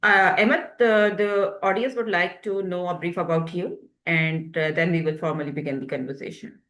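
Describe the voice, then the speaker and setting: A woman's voice: on the low side, fairly even intonation, normal speed, a bit bright, roomy sound. A woman hosting a webinar interview, polite and hesitant, with many fillers. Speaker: webinar host.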